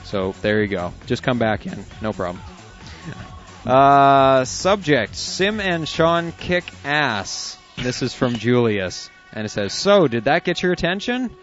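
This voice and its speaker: Casual male radio co-host, mid-pitched, reads emails with chuckles, dull lo-fi sound with faint music behind. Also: lively intonation, slow, fairly bright tone. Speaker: internet radio co-host reading listener emails. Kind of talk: casual on-air chat.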